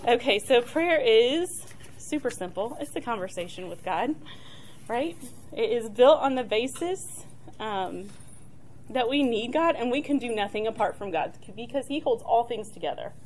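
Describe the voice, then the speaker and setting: A middle-aged woman, soft-spoken and chatty, leading a casual church talk on prayer with many fillers and restarts. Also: a little high, animated, normal speed, a little hiss or hum.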